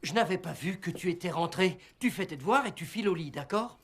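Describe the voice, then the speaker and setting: A man playing a distracted, overworked father in an animated film, absent-minded and mildly stern. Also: high voice, fairly quick, slightly rough, heavily acted.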